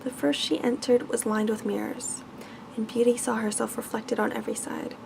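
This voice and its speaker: Female ASMR storyteller, hushed scripted bedtime reading, creaky edge, clear background hiss or noise. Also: fairly high pitch, flat delivery, moderate pace.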